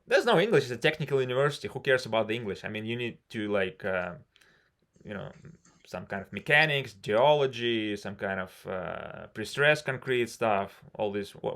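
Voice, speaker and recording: A man with a Russian accent talks English casually over a video call. His pitch sits in a plain middle range. He rambles frankly and fills his pauses. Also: a bit bright. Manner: rambling and frank, many "you know" fillers. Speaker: civil engineer. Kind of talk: casual conversation practice.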